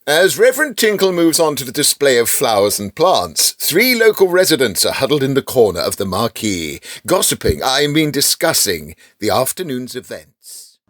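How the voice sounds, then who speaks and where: A man's voice: medium pitch, sing-song, moderate pace, a bit bright, no room sound, clean studio sound. A man with an English accent delivering arch, theatrical scripted narration for a comic radio drama.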